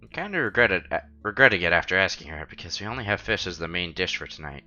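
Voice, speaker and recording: A man streaming a visual novel, reading the story aloud with casual, playful, expressive commentary. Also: sits low, fast. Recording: dull lo-fi sound, music behind.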